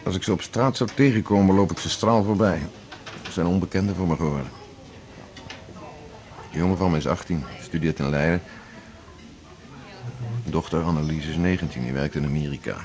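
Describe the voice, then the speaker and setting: An actor playing a middle-aged father estranged from his grown children, speaking flatly and resignedly. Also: low voice, a little fast, pauses often, lightly acted. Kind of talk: 1980s Dutch radio drama.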